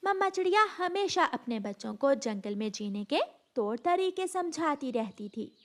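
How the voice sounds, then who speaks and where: A woman's voice: sits high, very animated, moderate pace, a bit bright. A woman narrating a scripted cartoon story as its storyteller.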